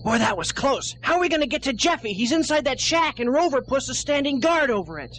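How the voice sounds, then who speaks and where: A performer voicing an excitable, impatient, wisecracking male buddy in an amateur comedy audio drama. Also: sits high, fairly quick. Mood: wry. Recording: noisy homemade recording.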